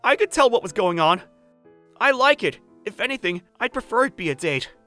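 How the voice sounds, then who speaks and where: A woman's voice: mid-range voice, animated, fairly quick, bright, forceful tone, soft background music. A woman voicing an earnest, easily flustered man in his thirties, narrating a romantic comedy manga dub. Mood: earnest, nervous. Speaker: voice actor narrating and playing the male lead.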